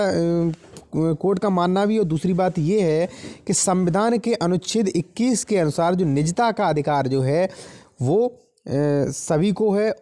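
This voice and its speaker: A man's voice: on the high side, mellow. A man giving legal advice to viewers in an informal explainer style, with a didactic, repetitive manner and frequent check-in tags.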